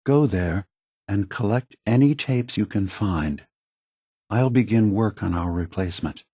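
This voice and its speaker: An actor playing an ancient synthetic android leader in a video game, calm, sorrowful and earnest, with measured, deliberate delivery. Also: male voice, fairly low pitch, breathy edge, no echo, clean studio sound. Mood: measured persuading. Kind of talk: scripted video game dialogue.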